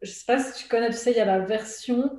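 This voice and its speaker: A woman's voice: fairly high pitch, quick pace, darkish tone. A woman, chatting as an interview guest, hesitant with lots of fillers and frequent laughter. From Switzerland. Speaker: guest, artist and illustrator. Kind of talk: casual conversational interview. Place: at home during lockdown. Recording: echoey room.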